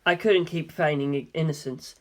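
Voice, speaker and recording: A woman's voice: low-pitched, steady tone, normal speed. A woman reading scripted story narration in the first person. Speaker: audiobook reader.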